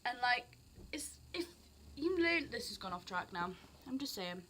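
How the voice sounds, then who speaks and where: Bright-voiced teenage girl with a Welsh accent. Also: fairly high pitch, moderate pace, a little hiss or hum. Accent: South Wales, Barry, with some English sounds. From South Wales. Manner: rambling, expressive, jumps between thoughts. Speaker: vlogger. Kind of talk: unscripted casual rant.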